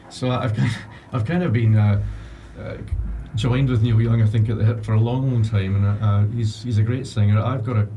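A male radio guest with a low, very mellow, dark voice. He talks in a hesitant, modest way and laughs easily. He sits a little back from the mic, and a faint hiss hangs behind him. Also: steady tone, moderate pace. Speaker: radio guest and upcoming presenter. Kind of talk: casual radio interview. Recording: distant mic with faint background noise.

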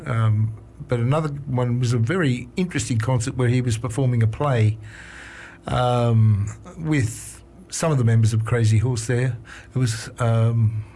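Male radio host, chatty and laid back, mid pitch, lots of ums. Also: a little slow, slight background noise. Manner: relaxed, chatty, frequent fillers and restarts. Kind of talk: casual radio chat. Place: radio studio.

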